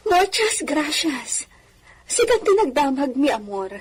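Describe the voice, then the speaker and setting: A woman's voice: sits high, sing-song, slow pace, heavily acted. A woman playing a genteel, soothing wife of a hacienda owner in a radio drama, speaking in a coaxing, gracious way. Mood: pleading, coaxing. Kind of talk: radio drama acting in Ilocano. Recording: faintly noisy radio drama audio.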